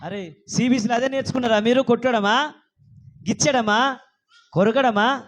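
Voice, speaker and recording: A man's voice: very high-pitched, moderate pace, a bit bright. A man with a Telangana accent hosting a children's puppet show, bantering playfully, coaxing and repeating things back as questions.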